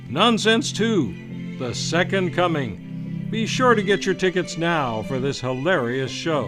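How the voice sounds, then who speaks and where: A man with a fairly high voice announces in classic old-time radio style over prominent music. His delivery is formal and theatrical, a polished script read out by a showman host. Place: radio studio.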